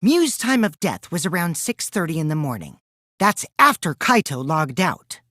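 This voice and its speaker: A man's voice: on the high side, sing-song, normal speed, no room sound, studio quality, heavily acted. A man voicing a mischievous, childish teenage boy who lies and schemes, in a youthful, teasing, theatrical video game performance. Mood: teasing, sly. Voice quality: boyish, youthful put-on voice. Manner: teasing, theatrical, swinging from singsong to hushed menace. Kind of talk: English video game voice acting.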